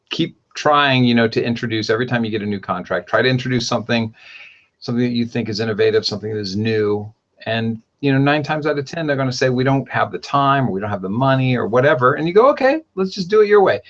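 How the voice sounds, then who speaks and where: A man hosting a podcast, talking in a rambling, animated way and often correcting himself mid-sentence. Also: fairly low pitch, muffled recording. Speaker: podcast host. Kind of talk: casual podcast chat.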